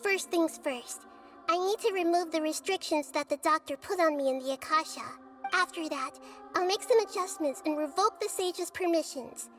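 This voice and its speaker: A woman voicing a gentle, wise, childlike goddess in a video game, soft and earnest in a thoughtful, measured way. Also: extremely high pitch, soft background music. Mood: focused. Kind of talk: video game cutscene voice acting.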